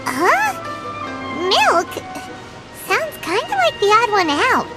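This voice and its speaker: A woman voicing a small, cheeky childlike fairy companion with a squeaky voice in an anime game. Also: wildly swooping pitch, slow, exaggerated voice, poor audio. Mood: curious. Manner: cheeky, exclamatory, refers to self by name. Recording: loud background music.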